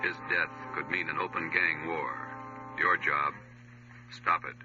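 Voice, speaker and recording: A man giving a solemn, deliberate scripted introduction as an old-time radio announcer. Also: a bass voice, level tone. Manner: grave, deliberate. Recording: muffled old radio recording, music behind. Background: music.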